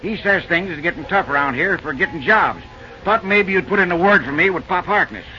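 A man's voice: high voice, lively intonation, brisk, sharp tone, heavily acted. An actor playing an easygoing, talkative drifting railroad man with rustic, folksy speech in an old-time radio drama. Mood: earnest. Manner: folksy backwoods speech, drawling rustic grammar. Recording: noisy, dull early radio recording.

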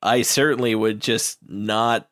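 A man's voice: fairly low pitch, steady tone, unhurried, a bit bright. A man chatting casually as a player on a tabletop game podcast. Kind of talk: casual chat.

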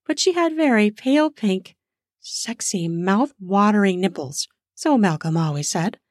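A woman narrating an erotic audio story in a slow, sensual way, lingering on words for emphasis. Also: mid-range voice, lively intonation, dry recording, clean studio sound. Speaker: storyteller, podcast host.

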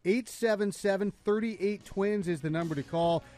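A man's voice: high-pitched, moderate pace, darkish tone, soft background music. Chatty sports radio host, man. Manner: chatty, smooth leading questions.

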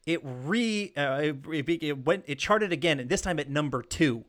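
A male podcast co-host with a slightly high voice chats casually about music. He sounds eager and enthusiastic, swearing freely as he talks. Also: expressive, normal speed, fairly bright tone. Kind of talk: casual chat.

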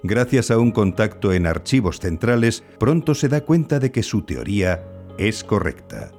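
A man's voice: lowish voice, sing-song, moderate pace, darkish tone, soft background music. A man narrating a scripted true-crime podcast as its host.

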